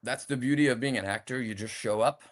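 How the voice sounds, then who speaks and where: A man's voice: mid-range voice, fast talker. A man from France with a light French accent, an actor talking casually in an interview with frequent restarts. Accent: French, softened by years abroad. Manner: relaxed, hesitant, many restarts. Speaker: actor guest.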